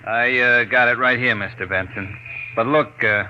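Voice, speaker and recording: An actor playing an anxious, folksy garage mechanic who talks colloquially and hesitantly, in 1940s radio style. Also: male voice, medium pitch, fairly even intonation, moderate pace, bright, forceful tone. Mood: worried nagging. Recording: dull noisy vintage radio recording.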